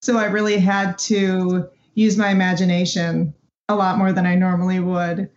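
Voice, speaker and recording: A woman's voice: fairly low pitch, little pitch movement, moderate pace, a little mellow, a little hiss or hum. A young woman who does voice work, chatting casually as an interview guest. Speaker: voice actress guest. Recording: roomy, dull lo-fi call audio.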